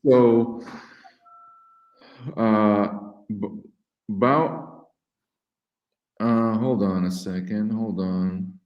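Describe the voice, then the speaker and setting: A man's voice: lowish voice, fairly even intonation, very slow, pauses often, roomy sound, lo-fi sound. A man hosting a casual livestream, talking loosely and swearing freely in an upbeat sales manner. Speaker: lead service host. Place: in Ukraine.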